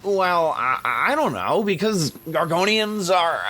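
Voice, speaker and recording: A man's voice: high voice, expressive, measured pace, sharp tone, exaggerated voice. A man playing a fussy, skeptical, anxious space traveler in an audio drama, whiny and easily flustered. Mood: flustered, hesitant.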